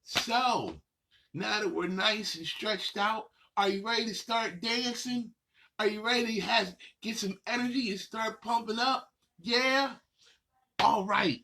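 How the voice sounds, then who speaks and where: A man hosting a children's session, guiding movement with encouraging, drawn-out instructions. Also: high-pitched, gravelly, sharp tone. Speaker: children's show host leading warm-up exercises.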